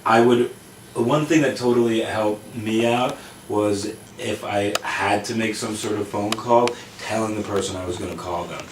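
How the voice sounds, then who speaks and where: Guy w a stutter, deep-ish voice, sounds far away in an echoey room. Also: moderate pace, a little hiss or hum. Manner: stutters with repeated words. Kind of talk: casual chat. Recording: echoey room, distant mic.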